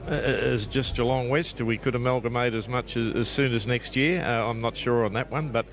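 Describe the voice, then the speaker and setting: Older australian man, sixties, mid-pitched, hesitant, lots of fillers. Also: fairly even intonation, moderate pace, fairly bright tone. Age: 60s. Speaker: interviewed bowls player. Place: outdoor bowling green.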